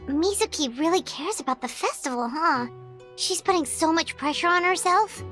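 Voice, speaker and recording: A tiny fairy girl from a video game, with an extremely high, squeaky voice. She is naive and blunt, with bright, piercing tone and lively, exclamatory delivery over music. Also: moderate pace. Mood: concerned. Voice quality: squeaky, childlike. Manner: exclamatory, speaks of herself in third person. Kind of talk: video game character acting.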